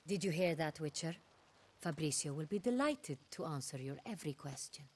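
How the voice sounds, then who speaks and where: An imperious duchess in a fantasy game, her voice slightly low. She is formal and measured, used to giving orders, with faint noise around her. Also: animated. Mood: stern, imperious. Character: imperious, commanding young duchess, regal and sharp-tempered. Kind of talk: video game voice acting.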